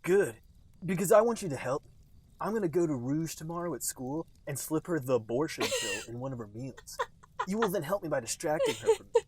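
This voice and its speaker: A man's voice: fairly high pitch, huge pitch swings, a little fast, breathy edge, dry recording, full character voice. A man voicing a scheming male teenage chameleon in a comic fan fiction reading. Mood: conspiring. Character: scheming male cartoon chameleon high schooler.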